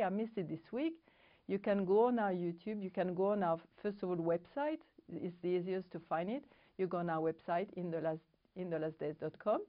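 A woman's voice: medium pitch, lo-fi sound. A middle-aged French woman with a French accent, co-hosting a TV programme and talking casually to camera, searching for words now and then. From France. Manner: halting, searching for English words. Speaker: co-host. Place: studio set with bookshelves. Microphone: lapel.

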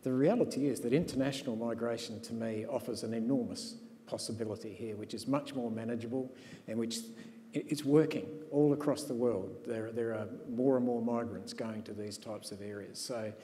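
A man's voice: mid-range voice, steady tone, brisk, warm tone. A man speaking on a conference panel, hesitant with frequent restarts and fillers, recorded on a stage in a large hall. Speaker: panelist demographer. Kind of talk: panel discussion. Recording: long hall reverb, faint room noise.

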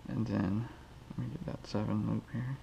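A man's voice: fairly low pitch, fairly even intonation, normal speed, vocal fry, warm tone, dull audio, a little hiss or hum. A man hosting a fishing channel, casually demonstrating a knot in his garage while counting the steps aloud. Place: cluttered garage, indoors. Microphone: head-mounted action camera.

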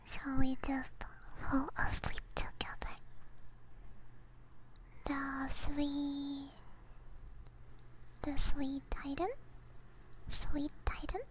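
Slow whispering ASMR woman. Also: sits high, low-quality recording. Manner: soft, unhurried, gentle whispering. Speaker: ASMR streamer, host. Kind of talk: ASMR, whispered chat to viewers. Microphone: close binaural ASMR microphone.

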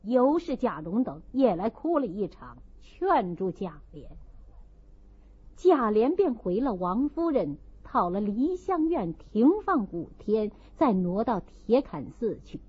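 A woman reads a classic novel with a lively, swooping range at an unhurried pace. Her fairly high voice has a husky edge, and she narrates dramatically. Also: muffled recording. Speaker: audiobook narrator.

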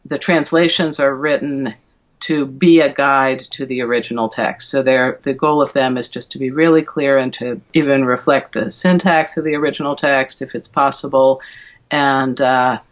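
A middle-aged woman speaks as an interview guest, feeling her way through explanations with a thoughtful, halting delivery. The sound is dull and lo-fi, like a video call from a room at home. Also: very deep voice, fairly even intonation. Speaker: guest, verse translator and classicist.

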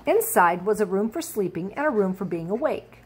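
An older woman reads a children's story aloud as storyteller. Her pitch moves with lots of expression, and there is faint noise behind her at home. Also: animated, brisk, a little mellow. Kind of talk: reading a children's picture book aloud. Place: indoors, at a desk at home.

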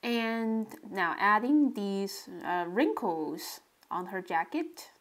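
A woman narrating a relaxed drawing tutorial step by step, describing what she does as she draws. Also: medium pitch, slow pace. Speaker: sketching tutorial host.

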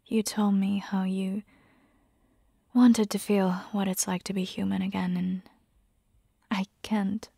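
A woman voicing a caring, gently bossy vampire hunter girlfriend in a soft, breathy, half-whispered ASMR roleplay, close to the microphone. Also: medium pitch, no room sound, full character voice. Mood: apologetic, tender.

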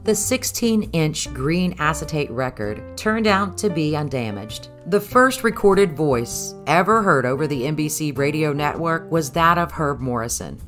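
A woman's voice: deep voice, expressive, measured pace, few pauses, a bit bright, faint music behind. A woman reading scripted historical narration as the narrator of a documentary.

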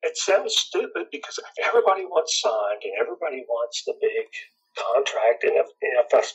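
Man, scratchy raspy voice, middle-aged, relaxed rambling chat, lively pitch. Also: fairly quick, lo-fi sound. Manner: laid-back, rambling, chuckles often. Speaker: guitarist guest. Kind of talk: casual interview chat.

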